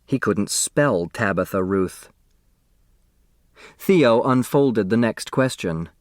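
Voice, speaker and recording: A man reading a story as an audiobook narrator, in expressive scripted narration. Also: fairly low pitch, takes it slowly, no echo, clean studio sound.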